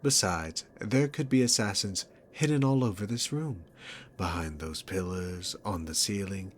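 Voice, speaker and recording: A deep-voiced demon king acted by a man in an ASMR roleplay, taking his time over every taunt. He is sarcastic and dominant, talking down to the listener with smug condescension. Clear background noise sits behind the voice. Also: fairly bright tone. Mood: mocking, boastful. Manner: mocking, condescending, unhurried, punctuated by chuckles.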